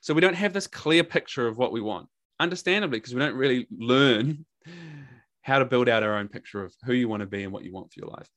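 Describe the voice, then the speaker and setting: A man's voice: medium pitch, quick pace. Candid New Zealand man, swearing freely. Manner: candid and energetic, swears freely, repeats words for emphasis. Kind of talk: casual podcast conversation.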